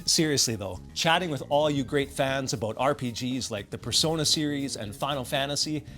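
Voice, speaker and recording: A man's voice: medium pitch, normal speed, fairly bright tone, soft background music. A man narrating a scripted video essay as a channel host, enthusiastic and given to conversational asides.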